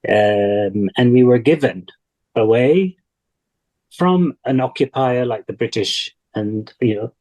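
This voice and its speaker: A man from Kuwait, speaking thoughtfully and deliberately in a reflective interview over a video call. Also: mid-range voice, a little mellow, rough recording. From Kuwait, of Palestinian parents. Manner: thoughtful, deliberate, with repetition for emphasis. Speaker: interview guest. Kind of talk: reflective personal interview talk.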